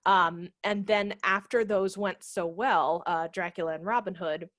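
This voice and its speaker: A woman in her thirties explaining things in a casual, animated, conversational way as a guest on a video call, speaking into a headset. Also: lowish voice, unhurried, no echo, studio quality. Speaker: guest, theatre managing director. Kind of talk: casual explanatory talk in an online session. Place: brick-walled room at home, indoors. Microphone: headset microphone on a video call.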